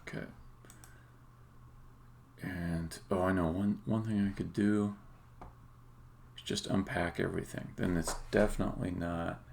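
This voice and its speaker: A man's voice: low voice, steady tone, a little slow, creaky, long pauses, darkish tone. A man thinking aloud hesitantly in casual live-coding commentary, as a game developer streaming his work. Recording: faint noise, keyboard typing and clicks. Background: keyboard typing and mouse clicking.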